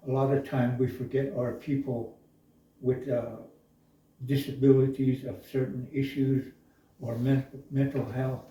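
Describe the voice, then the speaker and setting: Man, slow halting delivery, very dark tone, far from mic in echoey room. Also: medium pitch. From Navajo Nation. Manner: slow, thoughtful, halting with many uhs. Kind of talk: reflective discussion.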